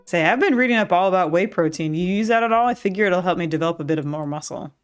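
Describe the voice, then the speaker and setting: A woman's voice: fairly low pitch, animated, quick pace, clear, resonant tone, soft background music, lightly acted. A woman reading the lines of an upbeat, corny suburban dad in a game, in a cheerful, dad-joke delivery. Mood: friendly.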